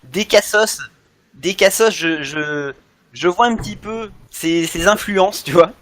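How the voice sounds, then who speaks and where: Casual male podcaster, voice a little high, halting and repetitive delivery. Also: a bit bright. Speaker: podcast co-host.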